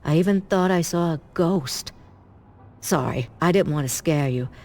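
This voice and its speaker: A rookie night guard in a game cutscene. Her voice sits very low, courteous and confiding. She comes across as uneasy on the job. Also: measured pace, darkish tone. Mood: uneasy, apologetic. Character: polite, jittery female rookie night guard. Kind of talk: scripted game cutscene dialogue.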